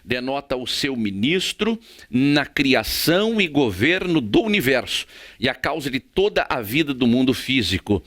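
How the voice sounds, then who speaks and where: A Brazilian man giving a prepared theology lecture in a formal, didactic way with measured phrasing. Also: mid-range voice, sing-song, a bit bright. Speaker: theology teacher.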